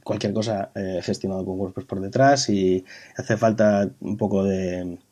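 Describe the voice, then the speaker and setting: A man's voice: low-pitched, steady tone, a little slow, warm tone. A man from Bilbao, a podcast guest explaining his work thoughtfully with some fillers. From Bilbao, Spain. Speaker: podcast guest, web project consultant. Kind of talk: casual podcast chat.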